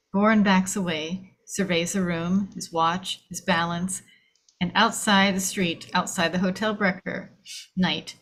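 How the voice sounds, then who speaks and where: Woman reading stage directions from a script, normal mid pitch voice. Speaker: screenplay table reader. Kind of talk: screenplay narration read aloud.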